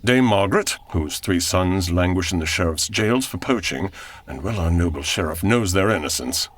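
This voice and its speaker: An actor playing a steady, loyal outlaw lieutenant, speaking formal archaic English in a measured old radio adventure manner. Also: male voice, deep voice. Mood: formal, dutiful.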